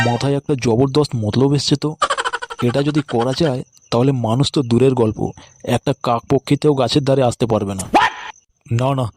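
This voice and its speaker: A man's voice: medium pitch, a little mellow, close to an everyday voice. A man doing a wary old village man. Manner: muttering to himself, scheming. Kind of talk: comic cartoon voice acting.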